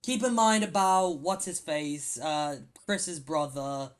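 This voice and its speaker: A casual podcast co-host with an even, middle-pitched voice who wanders through thoughts and often stops mid-sentence to hunt for a word. The delivery is loose and conversational, with the odd swear dropped in without much weight. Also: slow pace. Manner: rambling, searches for words aloud. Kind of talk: casual film discussion podcast.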